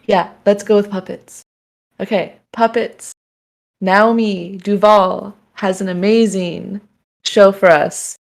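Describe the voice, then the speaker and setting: A woman's voice: medium pitch, steady tone, takes it slowly, vocal fry. A woman hosting a festival livestream, talking in an upbeat, playful way and introducing the next act. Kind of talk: casual live-stream hosting.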